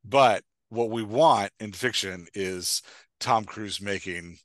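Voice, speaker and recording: Middle-aged American man, informal co-host tone, deeper register, very dry headset recording. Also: slow, fairly bright tone, no room sound. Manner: informal, colloquial, joking. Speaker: podcast host and coach. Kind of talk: casual chat, posing questions. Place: room indoors on a video call.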